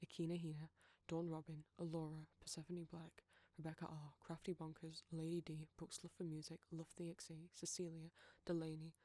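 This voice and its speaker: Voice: medium pitch, monotone, a little fast, hardly any pauses, dark tone. A British audio roleplay creator reading out a scripted outro of thanks and credits.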